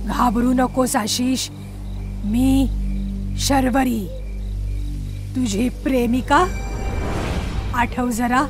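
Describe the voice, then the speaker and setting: Woman as a slow, high-voiced lovelorn ghost. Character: lovelorn female ghost of a murdered sweetheart. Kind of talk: animated horror story dialogue.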